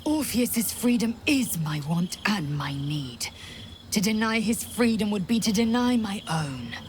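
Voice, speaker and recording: A woman's voice: medium pitch, very animated, measured pace, a little hiss or hum. An actress playing a proud, fierce alien warrior woman in a video game, solemn, formal and intense. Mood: grave, brooding. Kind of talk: dramatic video game acting.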